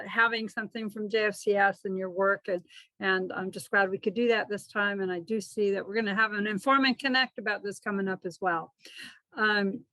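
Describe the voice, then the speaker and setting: A woman hosts an online panel, introducing each speaker warmly and with plenty of enthusiasm, often repeating words as she goes. Her voice sounds dry and close, with no room around it, at a middle pitch. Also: no room sound, rough recording. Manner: warm, enthusiastic, stumbling repeats. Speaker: panel host.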